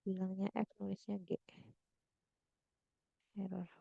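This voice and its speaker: Slow, gravelly-voiced woman tutor. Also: medium pitch, little pitch movement, no room sound, phone-quality sound. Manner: thinks aloud, checks with listeners. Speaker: math tutor. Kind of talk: slow worked-example tutoring.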